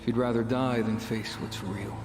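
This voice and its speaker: An actor playing a grim, world-weary demon hunter in a motion comic, brooding and measured, protective and wry. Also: male voice, mid-range voice, fairly even intonation, close to an everyday voice. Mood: wistful, resolute. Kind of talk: dramatic motion comic voice acting. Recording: echoey voice over music. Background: music.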